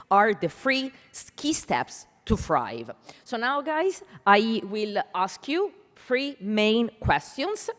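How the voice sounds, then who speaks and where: A woman with an Italian accent moderating a conference panel, speaking emphatically and deliberately to an audience and putting questions to them. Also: medium pitch. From Italy. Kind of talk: conference stage presentation addressing an audience. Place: large indoor conference hall. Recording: reverberant hall sound.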